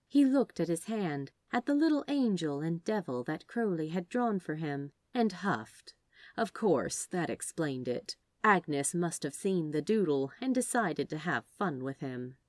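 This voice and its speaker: A woman reading a story aloud as narrator, in an expressive scripted style. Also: on the low side, a little slow, dry recording. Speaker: fan fiction narrator.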